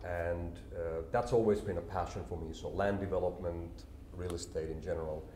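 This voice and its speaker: Deep-voiced man in his forties. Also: slight background noise. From Finland. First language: Finnish. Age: 40s. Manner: calm, explanatory, measured. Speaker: company CEO, interview guest. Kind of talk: business interview. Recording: roomy echo, faint ambience.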